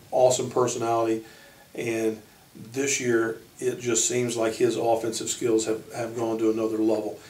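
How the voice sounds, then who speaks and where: An American man speaking as a basketball coach, informally introducing his team in halting short phrases. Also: medium pitch. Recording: echoey room, distant microphone.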